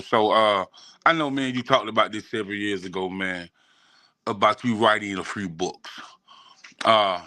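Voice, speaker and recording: A man's voice: fairly low pitch, pushed and bright, no room sound. An American man hosting a casual interview, affirming and often repeating short phrases. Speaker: talk show host.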